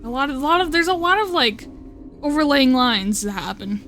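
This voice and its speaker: A young woman with a slightly high voice chats loosely on a live stream. She rambles, swears freely and keeps breaking off her own sentences. Faint music plays behind her. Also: expressive, a little fast, a bit bright. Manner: rambling, swearing, with self-interruptions and laughs. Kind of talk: casual live-stream chat.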